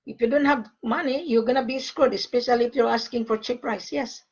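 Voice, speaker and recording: A woman's voice: a little high, steady tone, a little fast, darkish tone, husky, muffled recording. Older woman from the Philippines on a call. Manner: emphatic, repeats key phrases. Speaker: gold sales facilitator. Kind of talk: casual call conversation.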